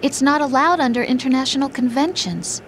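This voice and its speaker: A woman's voice: high voice, a little fast, a bit bright, slight background noise. A woman voicing a caring young woman, the soldier's partner, in a video game cutscene, speaking in a worried, pleading way. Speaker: game character. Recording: game audio with faint music.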